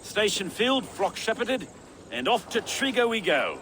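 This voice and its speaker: A man's voice: high voice, very animated, normal speed, bright, forceful tone, a little hiss or hum, full character voice, low-quality recording. A man playing an affable, dutiful medieval London reeve in a video game. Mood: affable, wry. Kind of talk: video game cutscene acting.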